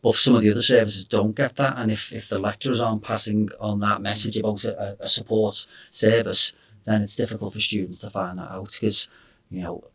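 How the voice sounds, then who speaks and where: A middle-aged English man working as a careers consultant, talking in a relaxed conversational way and often hedging with "you know" and "I suppose". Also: on the low side, a little fast, husky.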